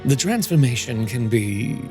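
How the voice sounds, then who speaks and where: Man voicing a menacing dragon-cult sorcerer, drawn-out phrasing. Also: mid-range voice, animated, over music, lightly acted. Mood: smooth persuasion. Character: sinister cloaked dragon-cult sorcerer with talons, coldly persuasive. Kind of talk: fantasy audio story character acting.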